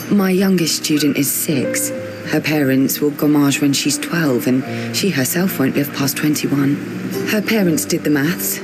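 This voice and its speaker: An actress playing a guarded, wry young teacher with quiet melancholy, in a measured, naturalistic video game cutscene style. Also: female voice, low-pitched. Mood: sober, reflective. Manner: dry, teasing, measured. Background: music.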